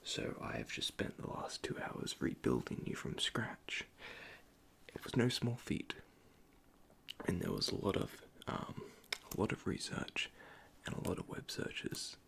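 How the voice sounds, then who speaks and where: A man playing a nervous, caring android repair technician in an ASMR roleplay, speaking in a soft, breathy half-whisper with hesitant stammers. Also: low-pitched, sing-song, unhurried, full character voice. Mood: gentle explaining.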